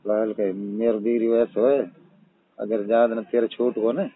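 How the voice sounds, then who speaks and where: A man with a husky voice and a Mewati accent, chatting casually in a private phone call. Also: on the low side, level tone, normal speed, dry recording. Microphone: telephone. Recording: muffled, tinny phone call recording.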